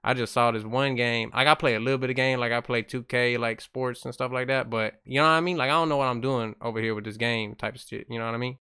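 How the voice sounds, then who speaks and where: A man's voice: medium pitch, steady tone, quick pace, hardly any pauses, bright, forceful tone, clear, resonant tone. A man playing a clueless, slangy, easygoing young gamer as a prank caller, rambling hesitantly and repeating himself in a casual phone conversation. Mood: confused, disappointed.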